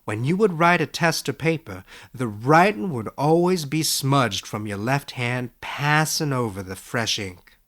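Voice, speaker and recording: A man playing a skeptical but kindly professor in a storybook, with a dry, measured, gently lecturing manner. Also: mid-range voice, animated. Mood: amused, wry. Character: wry, skeptical, kindly male professor, unhurried and quick-witted. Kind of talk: storybook character voice in audiobook narration.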